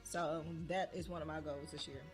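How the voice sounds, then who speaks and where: Woman near forty, low voice, casual podcast chat, faint music underneath. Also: fairly even intonation. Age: 30s. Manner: chatty, laughs often, drawn-out fillers. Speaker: podcast co-host and small business owner.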